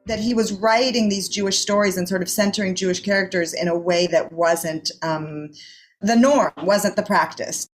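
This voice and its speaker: Mid-pitched woman in conversational interview. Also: normal speed, a little hiss or hum, low-quality recording. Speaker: interviewee, playwright.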